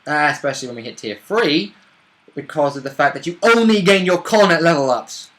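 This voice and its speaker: A man's voice: a little high, lively intonation, brisk, a bit bright. A man co-hosting a casual recap of a roleplay game, cutting in with asides and corrections about the rules. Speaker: co-host recapping a roleplay session.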